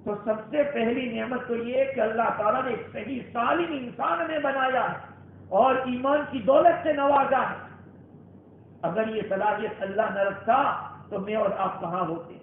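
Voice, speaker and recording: High-voiced male preacher, heavy echo. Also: steady tone, a little slow, narrow, tinny audio. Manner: exhortative, rhetorical questions, swelling and dropping emphasis. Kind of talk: Friday sermon. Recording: band-limited, very echoey hall, faint noise.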